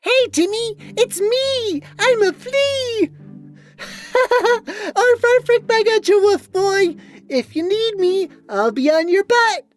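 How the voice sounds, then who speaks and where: A man's voice: very high voice, expressive, slow, faint music behind, voice pushed up, heavily acted. A man voicing a silly, dim-witted cartoon fairy godparent in a manic, childish manner. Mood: giddy. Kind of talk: zany cartoon acting.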